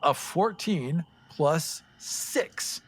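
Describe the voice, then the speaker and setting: A man playing a tabletop game, chatting casually and improvising story in an expressive way. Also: on the high side, extremely slow, slight background noise.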